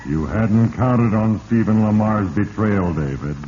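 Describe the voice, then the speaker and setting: An actor playing an ominous voice of fate, narrating solemnly and accusingly in 1940s radio mystery style. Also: male voice, lowish voice, measured pace, warm tone, natural voice. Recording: muffled vintage radio recording with hiss.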